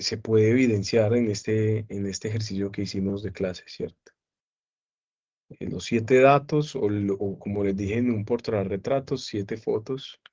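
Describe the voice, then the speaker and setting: A male psychology teacher giving an online class lecture, explaining slowly and often checking in with students. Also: mid-range voice, darkish tone, muffled recording.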